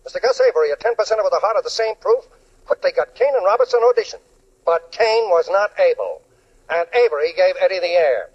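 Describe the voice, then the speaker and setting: An actor playing a brash, punchy gossip columnist in 1940s radio style. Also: male voice, high-pitched, sing-song, fast, breathy edge, heavily acted. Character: brash, rapid-fire gossip columnist broadcasting his items. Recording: dull, muffled 1940s radio recording.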